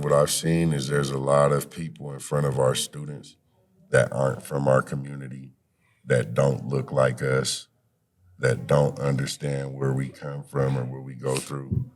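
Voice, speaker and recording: Very deep-voiced man, encouraging. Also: little pitch movement, unhurried. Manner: encouraging, uses "you know" often. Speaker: podcast guest, youth activist and coach. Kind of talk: conversational interview with motivational encouragement.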